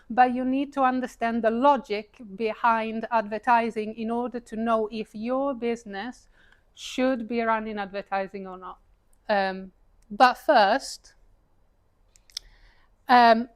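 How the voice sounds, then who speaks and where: A woman with an Italian accent, in her thirties, giving a chatty, self-deprecating live talk to an audience, with frequent fillers and laughs. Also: a little high. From Italy. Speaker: paid advertising speaker. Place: event room with an audience, seated.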